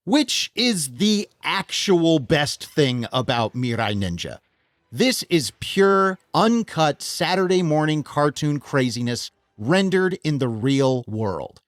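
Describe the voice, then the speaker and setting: A man's voice: fairly high pitch, no room sound, clean studio sound. A man with a North American accent hosting a scripted video essay, enthusiastic and wry, with self-deprecating joking asides. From United States. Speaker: host of a film video essay channel.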